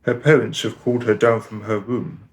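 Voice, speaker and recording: A man narrating a family tale in a radio play as a composed, measured storyteller. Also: lowish voice, little pitch movement, close to an everyday voice.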